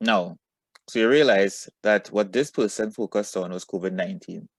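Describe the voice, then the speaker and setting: A man teaching a lesson to students, asking questions and giving instructions with deliberate emphasis and encouragement. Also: fairly low pitch, no room sound.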